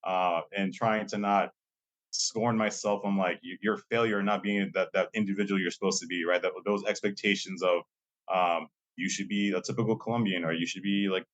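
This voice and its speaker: A man's voice: low-pitched, fairly even intonation, moderate pace, fairly bright tone, poor audio. A young man speaking as a guest in a casual interview, loose and talkative, often tagging his sentences with a questioning "right?" and using many fillers. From Lowell, Massachusetts, USA. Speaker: guest, assistant dean.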